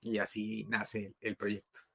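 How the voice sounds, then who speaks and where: A man's voice: on the low side, completely flat delivery, measured pace, gravelly, a bit bright, husky, no echo. Middle-aged guy from colombia, dry close sound, tells his story with lots of ums and restarts. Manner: storytelling, many fillers and restarts. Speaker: guest, bamboo builder and founder. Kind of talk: casual interview talk.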